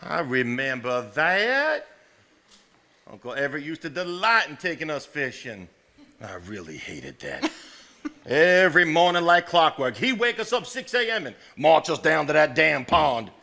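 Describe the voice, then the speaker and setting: A man's voice: fairly high pitch, sing-song, unhurried, vocal fry, pushed and bright, exaggerated voice. A man playing a contrary, blunt elder brother from Southern gentry in a stage drama. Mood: amused, reminiscing. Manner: argumentative, drawling, blunt. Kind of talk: live stage drama acting.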